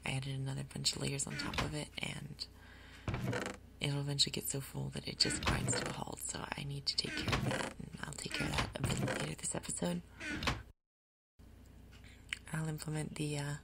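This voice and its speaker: Woman whispering low, soft ASMR game commentary. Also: flat delivery, gravelly. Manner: gentle, unhurried, explanatory. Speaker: gaming video host.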